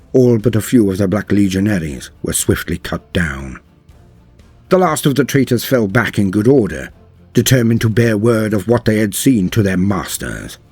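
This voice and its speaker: A man's voice: lowish voice, darkish tone, husky, no echo. A man narrating a scripted war story in a grave, theatrical storytelling manner. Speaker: narrator of fantasy war lore. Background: music.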